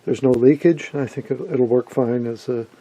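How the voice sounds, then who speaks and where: A man's voice: mid-range voice, steady tone, normal speed, warm tone, no room sound, poor audio. A middle-aged man giving a casual, matter-of-fact hands-on demonstration as a hobbyist presenter, explaining as he works. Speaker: vintage radio hobbyist presenter. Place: indoor workshop, off camera at a workbench.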